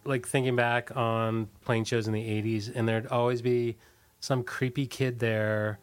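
A middle-aged man from Washington DC answering casually as an interview guest, tentative and halting. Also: medium pitch, little pitch movement, clean tone. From Washington DC, United States. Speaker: interview guest, punk musician.

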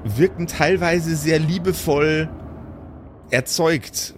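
A man working as game master, narrating an improvised tabletop role-playing game in descriptive detail, repeating words for emphasis. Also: fairly high pitch.

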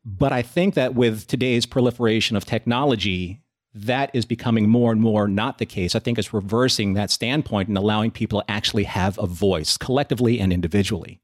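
A man's voice: lowish voice, a little fast, no room sound, studio quality. A man speaking as a podcast guest, reflective and wordy, thinking his points out aloud. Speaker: podcast co-host. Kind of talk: reflective conversational commentary.

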